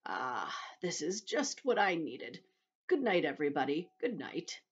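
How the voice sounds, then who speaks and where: A woman voicing an old male grasshopper, dignified, scornful and weary, in a slow storybook delivery. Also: deep voice, very animated, breathy edge, highs cut off, heavily acted. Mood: grateful, sleepy.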